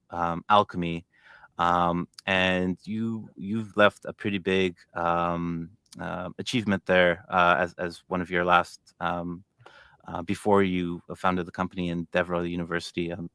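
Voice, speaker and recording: A man hosting a casual interview, speaking in a hesitant, halting way. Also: low voice, level tone, a bit bright, clear, resonant tone, no echo, clean studio sound. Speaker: show host.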